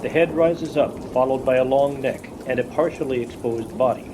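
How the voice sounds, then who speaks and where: A man's voice: medium pitch, moderate pace, close to an everyday voice. Man as radio play narrator over rain. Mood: matter-of-fact. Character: matter-of-fact narrator of an eerie story. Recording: rain noise behind.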